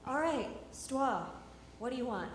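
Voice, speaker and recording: Radio drama heroine, actress: composed, shrewd, dryly pointed, high voice, long hall reverb. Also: female voice, expressive, unhurried. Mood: firm. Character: shrewd, composed young heroine, a secret heiress. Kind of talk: staged radio drama performance. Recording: echoey live hall, faint noise.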